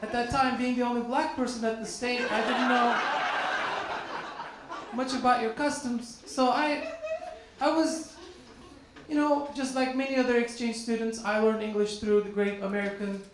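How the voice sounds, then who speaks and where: A man in his forties from Turkey with a Turkish accent, doing stand-up comedy and chatting with the crowd in a lively, teasing way. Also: very high voice, normal speed, pauses often. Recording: very echoey, noisy room.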